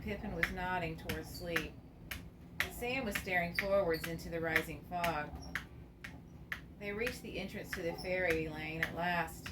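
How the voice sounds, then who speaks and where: A woman reading scripted story narration for an amateur radio theater piece. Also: on the low side. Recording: clearly noisy amateur recording.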